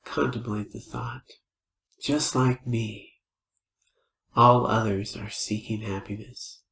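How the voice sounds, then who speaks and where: Gravelly man guiding meditation in echoey room. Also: mid-range voice. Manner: gentle, unhurried invitations with pauses. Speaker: meditation teacher. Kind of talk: guided meditation. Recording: roomy, echoing space.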